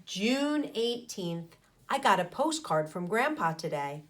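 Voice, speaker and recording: A woman teacher reading a picture book aloud to children, speaking deliberately with pauses between phrases and putting questions to her pupils. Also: mid-range voice, very animated, fairly bright tone. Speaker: primary school teacher.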